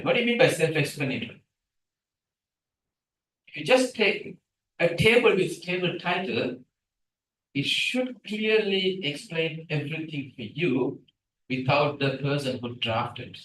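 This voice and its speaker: A middle-aged man whose first language is Tamil gives a guest lecture on scientific writing. He is animated and keeps asking the audience questions. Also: on the high side, measured pace, slight background noise. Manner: animated, asks the room questions. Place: seminar room, hybrid session. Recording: echoey room from a distance.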